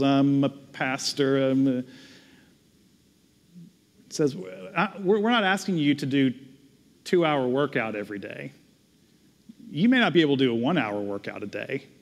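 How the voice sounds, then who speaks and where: A man in his thirties with a Southern US accent, a pastor preaching at a pulpit microphone in a conversational, self-deprecating way. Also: on the high side. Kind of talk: sermon with closing prayer. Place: large church sanctuary, at a pulpit. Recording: long reverb of a church hall.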